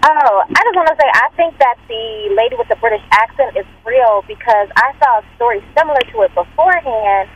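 A woman's voice: a little high, lively intonation, brisk, few pauses, husky. A woman calling a radio show, earnestly explaining a story she saw. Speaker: caller. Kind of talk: phone-in chat. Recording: dull phone line.

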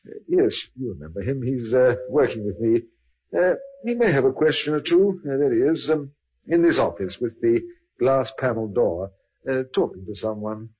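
A man playing a courteous English police inspector in an old radio drama, hesitant and polite but quietly probing. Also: medium pitch, animated, normal speed, breathy edge. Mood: disarmingly casual. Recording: dull old radio recording.

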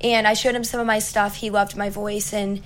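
A young woman singer-songwriter with a raspy voice, chatting upbeat and easily as an interview guest. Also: medium pitch, level tone, moderate pace, a bit bright. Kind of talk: casual interview chat.

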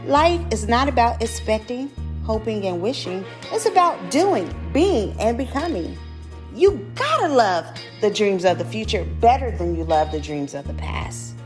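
A woman hosting a podcast, bubbly and exclamatory, laughing easily between thoughts. Her voice sits a little high and swings widely in pitch. Faint music plays behind her. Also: sing-song, normal speed. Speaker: podcast host. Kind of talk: casual interview chat.

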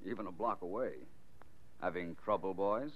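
A man's voice: deep voice, moderate pace. A man playing a suave, self-assured American private detective in a 1940s radio drama, speaking in a polished, formal, wry way. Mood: smoothly needling. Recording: muffled, noisy 1940s radio recording.

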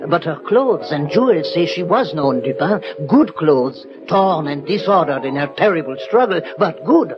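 An actor playing an anxious, deferential French police prefect with a fretful, formal manner in an old radio drama. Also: male voice, fairly high pitch, expressive, moderate pace, few pauses, music in the background, natural voice. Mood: agitated. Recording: dull, noisy vintage radio recording.

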